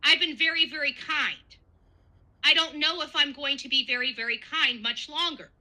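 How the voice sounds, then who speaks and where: A woman's voice: high voice, normal speed, bright, forceful tone. A woman giving casual online commentary in an emotional, dramatic manner.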